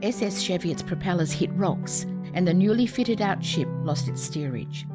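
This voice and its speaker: A woman giving clear, even, scripted narration for a series of historical radio plays. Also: fairly low pitch, normal speed. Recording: music playing behind. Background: music.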